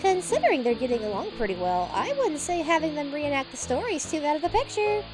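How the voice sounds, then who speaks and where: A woman's voice: high-pitched, very animated, fairly quick, music in the background, low-quality recording. A woman playing a gushing, gossipy female railway engine in an audio drama, playfully teasing.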